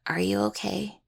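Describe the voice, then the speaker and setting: A woman's voice: medium pitch, gravelly, husky, heavily acted. A woman acting in an audio roleplay as an anxious young student necromancer and devoted partner, stammering and earnest.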